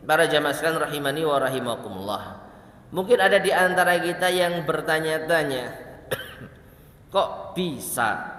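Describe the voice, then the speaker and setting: A man's voice: fairly high pitch, a bit bright. Echoey male preacher in a hall. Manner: recites Arabic slowly, then explains it in quick asides. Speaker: Islamic preacher. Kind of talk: religious study lecture.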